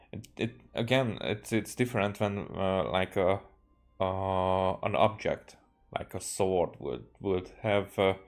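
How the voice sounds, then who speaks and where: Slow, low-voiced Hungarian man, musing haltingly. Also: darkish tone. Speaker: game streamer. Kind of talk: casual rambling live stream commentary.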